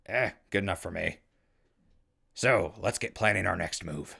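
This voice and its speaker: Low, creaky-voiced wry survivor played by a man. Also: unhurried, bright, forceful tone, full character voice. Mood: wry, curious. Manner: casual, hesitant, dryly sarcastic. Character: wry, weary male wasteland survivor running a radio station. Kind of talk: naturalistic audio drama acting.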